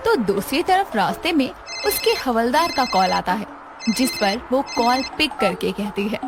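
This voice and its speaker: A woman narrates a cartoon story from a script. Her fairly high voice swings up and down in a lively way, with music clearly behind it. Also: measured pace.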